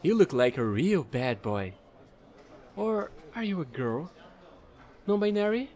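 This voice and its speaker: Offbeat fallen angel space trader played by a man for audio roleplay: high, very lively pitch, eager, rambling, playful. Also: unhurried. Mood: flustered, sincere. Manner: rambling, playful, pleading, casual slangy talk. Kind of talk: audio roleplay monologue addressed to the listener.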